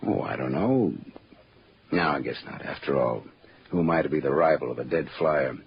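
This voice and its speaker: Hard-boiled detective, low register, terse and sardonic, old-time radio drama, muffled vintage sound. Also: male voice, moderate pace, slight background noise. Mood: wry. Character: hard-boiled male private detective, wry and world-weary. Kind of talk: 1940s radio noir acting and first-person narration. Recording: dull old radio recording with loud music.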